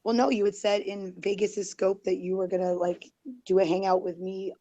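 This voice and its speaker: A woman with a mellow, dark tone, her voice worn and fading. She rambles defensively, full of "like" and "um" and restarts. The sound is dry and close. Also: medium pitch, little pitch movement, normal speed, no room sound, highs cut off. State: losing her voice. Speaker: guest. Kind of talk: heated online group call.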